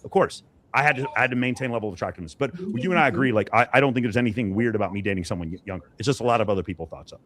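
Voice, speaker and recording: A man's voice: fairly low pitch, racing, a bit bright. A man in his late forties, assertive and emphatic while arguing his point on a panel. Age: 40s. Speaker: panel guest. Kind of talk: argumentative opinion talk.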